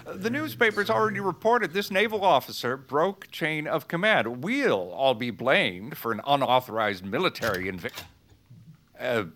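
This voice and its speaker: An actor playing a flustered, pompous cabinet secretary with an indignant manner in an audio drama. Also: male voice, medium pitch, big pitch swings, moderate pace, a bit bright, slight background noise. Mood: flustered, officious.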